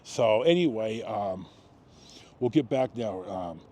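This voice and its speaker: An American man in his sixties telling a personal story casually, rambling with long pauses and self-corrections. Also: on the low side, expressive, mellow. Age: 60s. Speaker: video storyteller. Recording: noticeable background noise.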